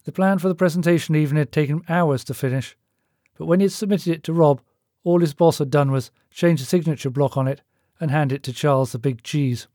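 A man's voice: a little high, a little fast, mellow, no room sound, studio quality. A man reading scripted fiction as an audiobook narrator.

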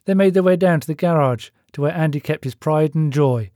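Mellow male storyteller, very dry sound. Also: on the high side, a little fast, no echo. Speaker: audiobook narrator. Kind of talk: scripted fiction narration.

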